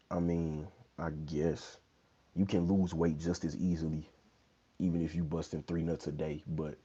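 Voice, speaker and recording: Very deep-voiced laid-back young man. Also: dark tone, husky. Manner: laid-back, loose slang, many "you know what I'm saying". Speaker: fitness livestream host. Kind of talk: casual livestream Q&A chat. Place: small bedroom indoors. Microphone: wired earbud mic, phone held low. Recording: dull lo-fi phone audio.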